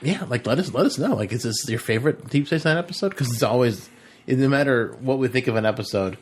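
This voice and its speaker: A man's voice: medium pitch, lively intonation, fast, slight background noise. A man co-hosting a podcast in casual chat, talking in a loose, laughing way and trailing off mid-sentence. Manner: chatty, laughs often, trails off. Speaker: podcast co-host.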